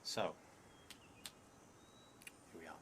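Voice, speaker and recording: A man in his thirties with a creaky voice, thinking aloud and reading as he plays a solo tabletop game, halting and trailing into murmurs. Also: sharp tone, a little hiss or hum. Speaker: solo tabletop roleplayer streaming.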